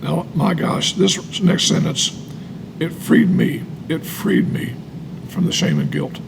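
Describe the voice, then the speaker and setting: A man's voice: on the high side, slow, gravelly, background noise. An American man reading aloud and sharing personal testimony, emphatic and repeating phrases for weight. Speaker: co-host reading and commenting on a recovery book.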